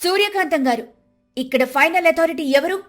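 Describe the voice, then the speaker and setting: A woman's voice: very high-pitched, moderate pace, a bit bright, faint music behind, pitched above the performer's own voice. A woman voicing a firm, principled young daughter-in-law in a dramatised story, stern and composed as she gives orders. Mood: stern, commanding. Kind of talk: dramatised Telugu moral story.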